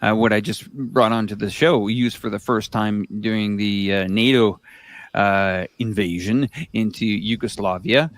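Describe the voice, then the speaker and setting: A man on a livestream panel, speaking haltingly with many fillers. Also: on the low side, steady tone, no room sound.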